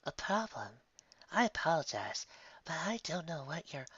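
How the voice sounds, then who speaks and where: Timid spa mare for an audio story, done by a narrator: high, soft, hesitant, apologetic and flustered. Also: very animated, moderate pace, slightly rough, no echo, highs cut off. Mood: confused, defensive. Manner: hesitant, soft-spoken, pleading.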